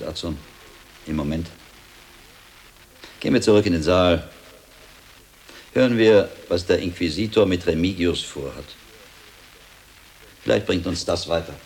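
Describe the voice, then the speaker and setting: An actor playing a sharp-minded, impatient medieval scholar monk in a German radio drama, reasoning aloud with deliberate emphasis. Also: male voice, sits low, expressive, creaky, full character voice, rough recording. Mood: pondering, brisk. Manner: deliberate reasoning aloud, given to exasperated outbursts.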